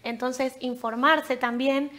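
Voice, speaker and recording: A woman's voice: sits high, fairly even intonation, takes it slowly, a bit bright. A woman with an Argentine accent speaking emphatically on a discussion panel, building her points in lists. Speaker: startup representative, panelist.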